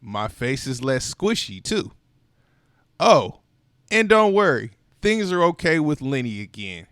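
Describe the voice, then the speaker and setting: Expressive man reading a storybook aloud. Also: mid-range voice, measured pace, no echo, clean studio sound. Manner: expressive and playful, with voiced sighs and exclamations. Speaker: storytime reader. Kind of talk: children's read-aloud narration.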